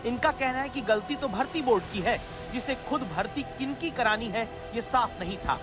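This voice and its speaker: A man's voice: very high-pitched, expressive, moderate pace. A man presenting scripted TV news narration in a dramatic, rhetorical way. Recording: loud music bed under voice. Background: music.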